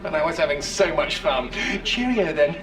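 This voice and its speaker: An actor playing a genteel, condescending commander with a British accent in a video game, speaking with breezy politeness. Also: male voice, fairly high pitch, expressive, moderate pace, far from the mic, crowd noise, natural voice, low-quality recording. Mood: polite condescension. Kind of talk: video game cutscene villainy.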